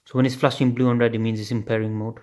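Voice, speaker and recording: A man chats his way through an unboxing in a flat, offhand voice, dropping the odd swear word as he thinks aloud. He is recorded on a phone held above a table at home late at night. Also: mid-range voice, racing. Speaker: unboxing video host. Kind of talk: casual unboxing chat. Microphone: phone camera filming hands on a table.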